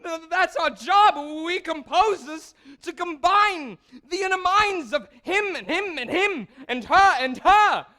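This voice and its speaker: An excitable young composer played by a woman in a stage drama. The voice is high and swings widely, with unhurried, stammering phrases that keep breaking toward giggles. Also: full character voice. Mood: rapt, building. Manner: stammering, breathless bursts, giggly. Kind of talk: theatrical stage acting.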